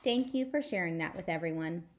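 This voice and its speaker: A woman's voice: fairly low pitch, animated, fairly quick, lightly acted. A woman voicing a weary grandmother in a children's storybook, dry and sarcastic in manner.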